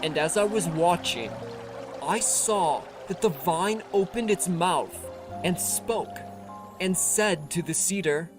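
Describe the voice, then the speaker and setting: A narrator reading a scripture audiobook in a solemn, measured, formal manner. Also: medium pitch. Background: music.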